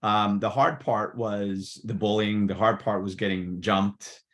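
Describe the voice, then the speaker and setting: A man's voice: lowish voice, steady tone, unhurried. A man born in Portugal, Portuguese his first language, telling his life story as a podcast guest, rambling with fillers and restarts. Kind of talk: casual interview storytelling. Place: New Jersey.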